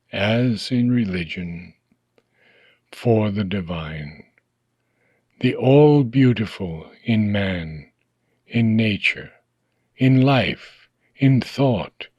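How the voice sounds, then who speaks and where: A man reading a philosophical text aloud in slow, deliberate phrases, often trailing off softly at the ends of lines. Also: mid-range voice, dry recording. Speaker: reader.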